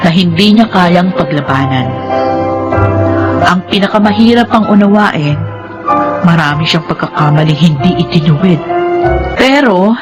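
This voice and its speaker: A woman's voice: lowish voice, animated, measured pace, long pauses. An actress playing an earnest, principled young woman in a radio drama, with a Filipino accent. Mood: reflective. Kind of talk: radio drama acting and narration. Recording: dramatic music under the voice.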